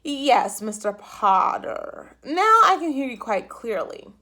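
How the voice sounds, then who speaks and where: A woman voicing a confused city clerk on the phone who speaks slowly and overly carefully. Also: a little high, very animated, pushed and bright, exaggerated voice. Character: confused slow-talking male city clerk.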